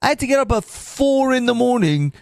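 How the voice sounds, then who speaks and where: A man hosting a livestream, with a croaky voice, swearing and rambling with many restarts. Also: very high-pitched, animated, brisk, no echo. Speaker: livestream host. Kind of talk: casual livestream chat.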